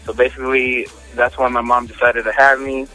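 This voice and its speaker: Bright-voiced, slangy young-sounding man. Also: medium pitch, level tone, low-quality recording. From United States, born in New York, raised in Miami. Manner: slangy, halting with repeated words. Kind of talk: casual personal testimony in an interview. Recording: phone interview with music behind.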